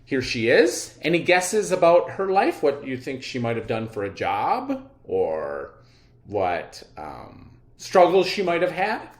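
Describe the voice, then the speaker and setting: A man teaching a read-aloud lesson, instructive, pausing to wonder aloud and put questions to his listeners. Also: mid-range voice, huge pitch swings. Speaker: elementary school teacher leading a reading lesson. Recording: roomy, echoing sound.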